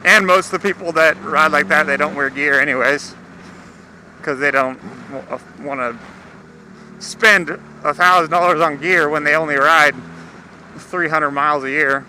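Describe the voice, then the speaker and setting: Young male American motovlogger, higher-pitched voice, casual and slangy with some swearing, recorded outdoors amid clear engine and road noise. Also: steady tone, normal speed, pushed and bright, poor audio. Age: young adult. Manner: laid-back, slangy, swearing. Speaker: motorcycle vlogger. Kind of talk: casual rambling vlog chat. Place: outdoors, riding a motorcycle. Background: engine and vehicle noise.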